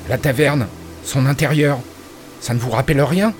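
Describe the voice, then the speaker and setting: A man voicing a calm male soldier who speaks steadily and compassionately. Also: medium pitch, normal speed, a little hiss or hum, voice pushed up, natural voice.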